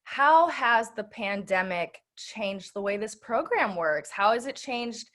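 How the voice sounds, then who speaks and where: A woman's voice: medium pitch, expressive, slow pace, a bit bright. A woman hosting a casual interview, asking questions in an effusive, informal way. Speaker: interviewer, event host.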